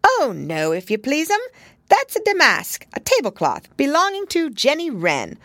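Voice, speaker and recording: A woman's voice: high voice, huge pitch swings, moderate pace, fairly bright tone, no room sound, pitched above the performer's own voice, heavily acted. Woman doing a fussy hedgehog washerwoman. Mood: fussy, polite. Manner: deferential, repeats polite phrases. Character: stout anxious hedgehog washerwoman, humble, fussy.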